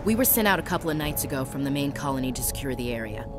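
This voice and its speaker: A woman's voice: extremely low pitch, expressive, rapid-fire. An actress playing a young female marine, an earnest and plainspoken soldier, in a video game cutscene. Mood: earnest, explaining. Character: young female human marine, shaken but resolute survivor. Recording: music behind, game soundscape.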